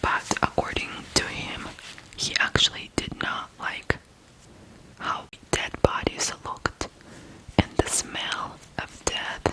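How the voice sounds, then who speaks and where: A person from Ukraine telling stories in whispered ASMR style, softly and deliberately, pausing between short phrases. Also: poor audio. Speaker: ASMR true-crime host.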